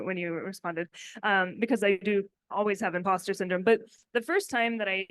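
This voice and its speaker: A woman's voice: mid-range voice, no echo. A woman speaking candidly and informally as a panelist, in a conversational style. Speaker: panelist, software developer. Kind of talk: conversational conference panel talk.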